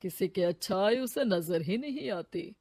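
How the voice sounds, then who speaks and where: A man playing a calm, fatherly old hermit in a moral story, speaking soothingly and solemnly. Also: high-pitched, measured pace, breathy edge, no room sound, full character voice.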